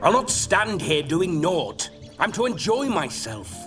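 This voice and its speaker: A man's voice: high-pitched, big pitch swings, a little slow, a bit bright, distant mic, soft background music, heavily acted. An actor voicing a pompous, pleasure-loving ghost of a rakish nobleman in a video game, with theatrical, archaic speech. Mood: impatient, scornful. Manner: theatrical, archaic, haughty turns of phrase. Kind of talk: video game character acting.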